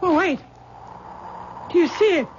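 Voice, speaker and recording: A slow, deliberate older woman. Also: very high-pitched. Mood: alarmed. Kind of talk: 1970s radio drama acting. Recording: dull 1970s radio recording with clear noise.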